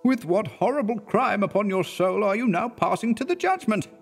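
A man's voice: expressive, normal speed, vocal fry, breathy edge, faint music behind, heavily acted. An earnest, frightened minister speaks in a voice pushed well above the reader's own, pleading and urgent. A man acts him out, keeping a formal, old-fashioned delivery. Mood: horrified. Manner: high, pleading, then horrified.

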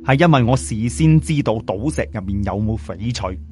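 A man's voice: on the low side, lively intonation, normal speed, darkish tone, soft background music, pitched below the performer's own voice, close to an everyday voice. A man voicing a confident, blunt jade gambler in a story, with a Cantonese accent and self-assured manner. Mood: knowing, resigned.

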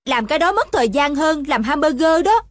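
A woman voicing a brisk, nagging young mother in a cartoon dub, scolding and instructing with a southern Vietnamese accent. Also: sits high, animated, no room sound, clean studio sound. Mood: stern.

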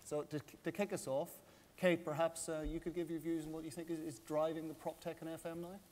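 A man moderating a conference panel, brisk and stumbling on words. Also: a little high, level tone, darkish tone. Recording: reverberant hall, faint noise.

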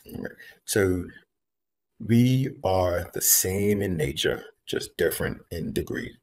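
A very low-voiced man from Bermuda speaks earnestly and deliberately, leaning on repeated phrases to drive a point home. He is a guest on a video call from a room at home. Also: lively intonation, darkish tone, a little hiss or hum. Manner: earnest, measured, repeats key phrases for emphasis. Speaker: guest, homeless advocate. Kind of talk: reflective interview talk. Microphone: video call, laptop camera.

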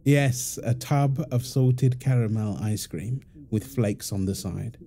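Doting young dad, very mellow man acting. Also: mid-range voice, slow pace. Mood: playful, affectionate. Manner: affectionate, teasing, chuckling. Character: doting, playful young husband and proud father. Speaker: audio roleplay performer. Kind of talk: wholesome ASMR-style audio roleplay.

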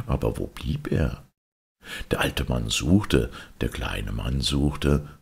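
Male storyteller for fairy tales: extremely deep, husky, creaky, expressive delivery. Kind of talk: expressive fairy-tale narration.

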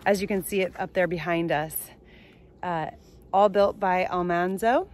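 A woman's voice: on the low side, fairly even intonation, unhurried. A woman in her forties chatting upbeat with fillers while filming herself on a phone outdoors on a sunny farm. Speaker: vlog host. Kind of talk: casual travel vlog. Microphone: phone at arm's length.

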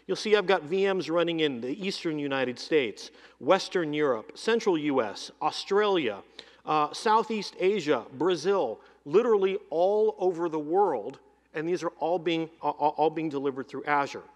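A middle-aged man presents a software demo from a conference stage. His voice sits a bit high and stays energetic as he rattles off long lists. A headset mic picks him up, and the big hall adds a long reverb tail. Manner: energetic, lists items in quick runs. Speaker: keynote presenter demoing cloud software. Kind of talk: live keynote demo.

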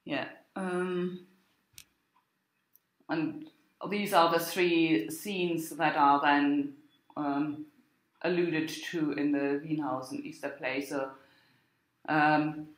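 Slow, halting woman lecturer, echoey room. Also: sits low, fairly even intonation. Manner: halting, thinking aloud, explanatory. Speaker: university lecturer. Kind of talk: academic lecture with slides. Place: indoor room with echo.

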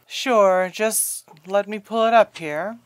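A woman's voice: medium pitch, slow, slight background noise, close to an everyday voice. A woman playing a helpful, matter-of-fact probation officer in a radio drama, speaking casually.

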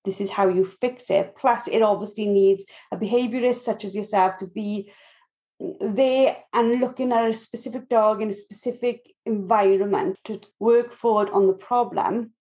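A Welsh woman hosting a podcast, asking relaxed, chatty questions with a few fillers. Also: medium pitch, moderate pace. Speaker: podcast host. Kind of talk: casual podcast interview.

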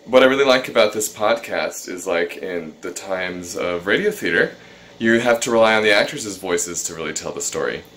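A man introducing himself as a voice actor, talking in a measured, thoughtful way. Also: deep voice, animated, fairly bright tone. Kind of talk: cast self-introduction.

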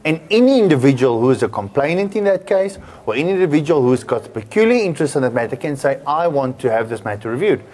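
A man's voice: mid-range voice, animated, fast, hardly any pauses, darkish tone. A middle-aged man with a South African accent, explaining points as a legal commentator in a studio panel discussion.

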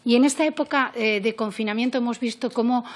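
A woman's voice: a little high, fast. Earnest Spanish woman addressing a committee. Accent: central Spanish. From Castilla-La Mancha, Spain. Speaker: member of parliament. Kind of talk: formal committee speech from notes. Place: parliamentary committee room. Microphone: gooseneck desk microphone.

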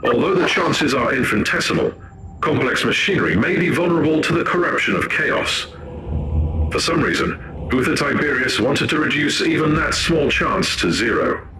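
An actor playing a zealous cybernetic tech priest in a science fiction game, speaking formally and with clinical precision, reverent about machines. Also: male voice, on the high side, expressive, moderate pace, background noise, lightly acted. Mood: grave, precise.

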